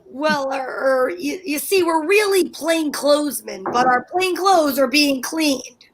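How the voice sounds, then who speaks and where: A woman plays a scheming wife from an old-time radio comedy. Her voice is very high, and she talks in theatrical exclamations. She is bossy and wheedling, always bluffing with confidence. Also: measured pace, crowd noise.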